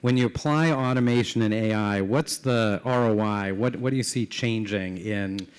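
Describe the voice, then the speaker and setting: A man's voice: on the low side, normal speed, smooth. A man hosting a live interview in a conversational, affirming way, prompting his guest with questions. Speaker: interviewer, company founder. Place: live event before an audience.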